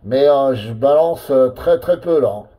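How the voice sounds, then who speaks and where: A man operating a CB radio, chatting casually with lots of pauses and filler words. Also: mid-range voice, lively intonation, mellow, low-quality recording. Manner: hesitant, full of fillers and tags.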